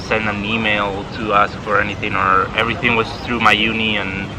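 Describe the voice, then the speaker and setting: A young man talks casually, leaning on "like" as he explains. His voice sits low. Traffic and boats are clearly heard behind him outdoors. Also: level tone, a little fast. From Spain. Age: young adult. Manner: chatty, many "like" fillers. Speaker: exchange student guest. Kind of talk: casual chat. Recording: outdoor traffic and boat noise.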